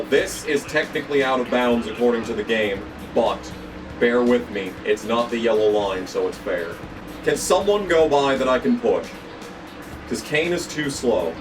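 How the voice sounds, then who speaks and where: Young guy going hoarse, yelling and cursing over a racing game, bit far off the mic with music playing. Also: medium pitch. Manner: excitable, swears often, jumps into yelps when the race turns. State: losing his voice. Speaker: gaming channel host. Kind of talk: gameplay commentary.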